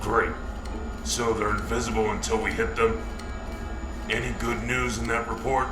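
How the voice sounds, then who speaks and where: Man acting grave battle-worn soldier, low voice, measured delivery, loud score behind, audio drama. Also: flat delivery, natural voice. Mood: skeptical. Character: weary battle-worn male soldier narrating a war log. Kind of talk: dramatic sci-fi audio drama narration and dialogue. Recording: echoey, distant, under loud music.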